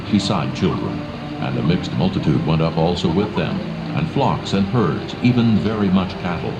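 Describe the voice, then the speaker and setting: A man giving solemn scripted scripture narration in a measured, formal manner. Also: rumbling low, gravelly. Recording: dull recording with music behind.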